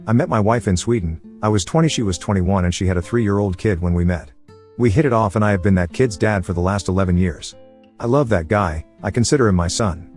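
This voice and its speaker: A man narrating a forum post in the first person as a scripted story, with a brisk, steady reading manner. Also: fairly low pitch.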